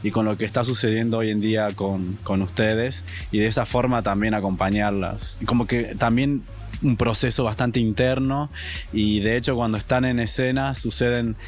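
A man's voice: fairly low pitch, fairly even intonation, moderate pace. A man in his twenties with a northern Argentine accent, a student artist answering earnestly in an interview. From Salta, northern Argentina. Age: 20s. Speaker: student artist and stage director. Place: theatre stage with curtains. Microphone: handheld reporter microphone. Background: music.

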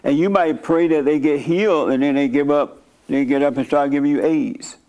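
A middle-aged man preaching in a blunt, declarative way, answering questions from his seat on a studio stage. His voice sits a little higher than most men's. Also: fairly even intonation, a little mellow, rough recording. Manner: blunt, preachy, quick asides and mock-prayer voices. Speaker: pastor. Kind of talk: sermon-style Q&A with audience. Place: dark studio set, seated by small table.

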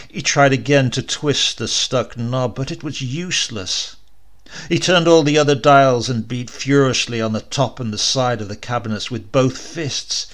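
Gravelly, intense male story narrator. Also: mid-range voice, fairly bright tone. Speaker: audiobook narrator. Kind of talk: dramatic horror story narration.

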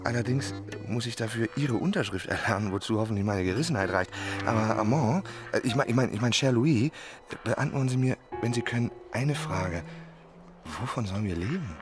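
An actor playing a giddy, tipsy young French aristocrat in a German radio play, effusive, halting and theatrical. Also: male voice, medium pitch, lively intonation, music in the background, natural voice. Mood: tipsy, eager.

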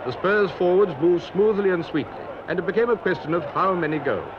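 A man's voice: high-pitched, lively intonation, fairly quick, noisy background. A British man reading scripted narration as a reporter on a 1950s newsreel. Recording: dull 1950s newsreel soundtrack. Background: music.

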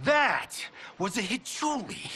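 A man's voice: extremely high pitch, sing-song, slow pace, pushed and bright, a little hiss or hum, heavily acted. An actor playing a cocky, sarcastic male movie star in video game cutscenes, joking and laughing often. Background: music.

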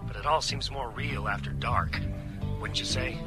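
A man's voice: mid-range voice, expressive, normal speed, gravelly, sharp tone. An actor playing an earnest, urgent man in a radio drama. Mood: earnest urgency. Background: music.

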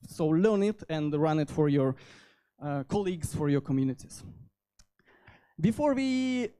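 A man in his thirties with a Russian accent giving an informal technical talk into a handheld microphone, halting often as he goes. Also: a little high, expressive, warm tone, clean tone. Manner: halting delivery with frequent hesitations. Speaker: conference speaker, software developer. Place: indoor meetup venue.

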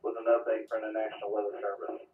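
A man's voice: fairly low pitch, little pitch movement, fast. An American man reads formal bulletins over a two-way radio as net operator. The sound is muffled and distant, echoing in a room with faint noise behind it. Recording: muffled, noisy, echoey radio from afar.

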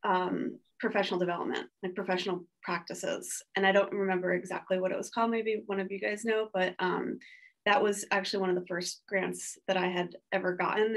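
A woman's voice: on the low side, flat delivery, brisk. A woman in her forties talking casually on a video call panel from a home studio, rambling with lots of filler words and restarts. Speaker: panelist artist. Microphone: video call webcam.

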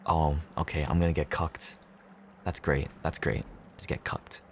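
A man's voice: extremely low pitch, fairly even intonation, a little slow, slight background noise. A young man chatting casually on a gameplay livestream, slangy, sweary and laid-back. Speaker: streamer.

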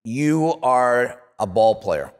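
A man's voice: mid-range voice, very animated, slow pace, clean tone, long reverb tail. A middle-aged man hosting a casual sports interview. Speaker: talk show host. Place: small indoor studio set with couches.

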